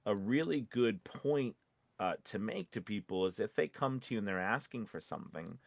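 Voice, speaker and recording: A man's voice: fairly low pitch, expressive, normal speed, gravelly, no room sound. A man co-hosting a casual advice chat, informal and encouraging, often acting out little example quotes and checking that he is understood. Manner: informal, encouraging, often checks in with "you know what I mean". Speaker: podcast co-host and voice-over coach.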